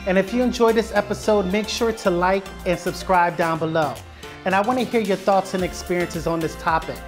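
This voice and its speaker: A man's voice: high-pitched, fairly quick, faint music behind. A man from Philadelphia hosting an interview show, asking questions and closing the episode with a toast and sign-off. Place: apartment kitchen, indoors.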